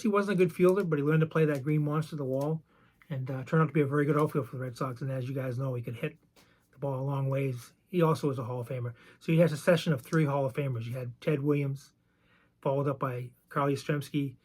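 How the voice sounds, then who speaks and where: Man, gravelly voice, slightly high-pitched, relaxed, chatty collector host. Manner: easygoing, chatty, wanders off on side stories. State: voice roughened by medication. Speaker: vintage card collector and channel host. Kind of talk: casual show-and-tell about baseball cards.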